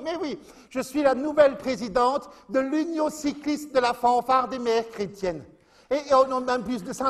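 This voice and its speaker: A man playing a chatty middle-aged Alsatian housewife who gossips and confides on the phone, in a comic monologue. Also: very high-pitched.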